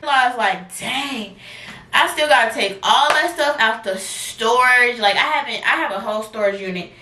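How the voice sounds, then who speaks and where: A woman's voice: on the high side, moderate pace. A young woman around twenty chatting casually to camera in a slangy, dramatic way, as a vlogger. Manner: animated, slangy, dramatic complaining. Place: at home, then inside a car. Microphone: camera at arm's length or propped nearby. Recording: noisy camera audio with car hum.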